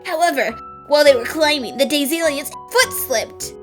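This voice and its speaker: A woman voicing an excitable, theatrical young woman in a fan-dubbed detective cartoon, speaking dramatically. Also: high voice, normal speed, over music, natural voice. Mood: anguished drama. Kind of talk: fan dub voice acting.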